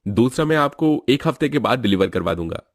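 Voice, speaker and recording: A man's voice: mid-range voice, expressive, a little fast, no echo, clean studio sound, close to an everyday voice. A man playing a polite, businesslike shopkeeper in a cartoon story.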